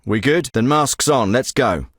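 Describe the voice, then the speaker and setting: A curt covert ops handler. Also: male voice, medium pitch, animated, unhurried, a bit bright, dry recording, clean studio sound. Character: tough covert ops handler, middle-aged man, curt. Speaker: special forces handler. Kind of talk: game voice acting.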